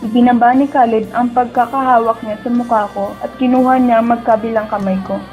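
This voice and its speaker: Woman acting a high-voiced, heartbroken young Filipina dreamer. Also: steady tone, fairly quick, highs cut off, faint music behind, natural voice. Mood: sorrowful, reflective. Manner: emotional, pleading. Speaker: voice actor and narrator in a radio drama. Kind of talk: dramatic first-person narration and dialogue.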